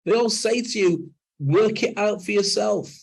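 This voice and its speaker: An older man speaking as a guest on a video call interview, emphatic and animated when making a point. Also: sits high, unhurried, creaky, breathy edge. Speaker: guest, NLP trainer and speaker.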